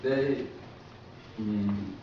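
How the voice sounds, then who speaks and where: A man with a Korean accent explaining ideas as a professor in simple, halting second-language English, using short emphatic phrases. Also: fairly low pitch, vocal fry, slight background noise. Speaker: professor, guest speaker. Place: echoing talk room at a community centre. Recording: echoey hall from far off, muffled.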